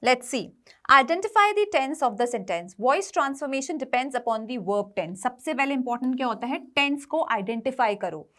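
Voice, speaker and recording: A woman's voice: high-pitched, animated, takes it slowly, fairly bright tone. A woman with an Indian accent giving an exam coaching lesson as an English grammar teacher, explaining by posing questions and answering them herself, switching between two languages.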